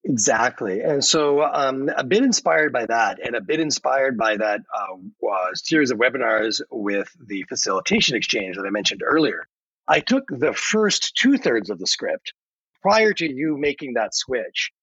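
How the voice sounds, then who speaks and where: A man's voice: medium pitch, lively intonation, normal speed, fairly bright tone, breathy edge, no echo, muffled recording. A man speaking conversationally as a guest in a podcast interview.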